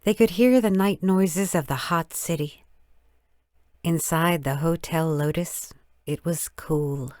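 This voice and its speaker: A woman reading a story as scripted narration, in a measured, careful storytelling manner. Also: sits low, a little mellow, no room sound, studio quality. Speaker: audiobook narrator.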